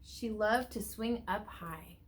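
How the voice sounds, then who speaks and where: A woman teacher reads a picture book aloud to young children, stretching words for emphasis and pausing to ask them questions. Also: mid-range voice, a little hiss or hum, low-quality recording. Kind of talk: read-aloud storytelling with asides and questions to young listeners.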